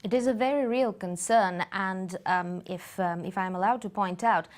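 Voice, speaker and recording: A woman from Bulgaria, answering interview questions in a studio in a measured way, pausing often with fillers. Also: mid-range voice. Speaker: campaign group guest.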